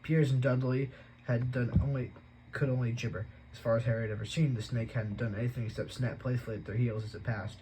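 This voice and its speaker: A man reading a novel aloud at a steady pace, with an occasional stumble he corrects. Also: medium pitch, fast talker, background noise. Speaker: audiobook reader.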